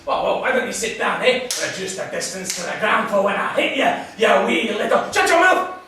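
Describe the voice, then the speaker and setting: A man playing a blunt, combative Scotsman with a Scottish accent, sarcastic and quick to take offence in a comedy sketch. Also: mid-range voice, wildly swooping pitch, distant mic. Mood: threatening, furious. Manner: combative, sarcastic, prone to shouting. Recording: very echoey room, off mic, faint noise.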